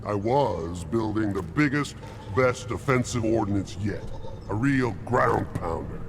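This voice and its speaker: Low voice, haughty alien weapons scientist, bitter, grandiose, video game dialogue, noisy background. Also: male voice, expressive, unhurried. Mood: bitter, boastful. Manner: pompous, grandiose. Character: pompous, bitter alien krogan scientist obsessed with explosive weapons.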